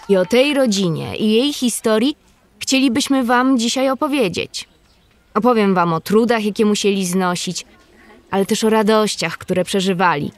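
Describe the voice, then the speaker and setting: A woman narrating a scripted history story for children, measured and addressing her young listeners directly. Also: medium pitch, natural voice. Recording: music under the voice.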